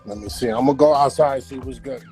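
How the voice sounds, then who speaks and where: A man who is a guest rap artist, giving short, relaxed replies in a casual interview over a video call. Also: medium pitch, fast talker, faint music behind.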